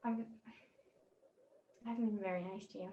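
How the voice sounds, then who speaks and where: A woman playing a popular young woman, asking softly and teasing a little, over a video call. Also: medium pitch, fairly even intonation, normal speed, slight background noise, lightly acted. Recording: echoey, dull video call.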